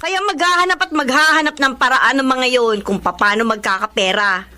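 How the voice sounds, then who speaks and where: Casting: disapproving grown daughter, radio drama; slightly high, sharp, earnest voice. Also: female voice, fairly quick. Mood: exasperated. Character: disapproving grown daughter confiding in her father.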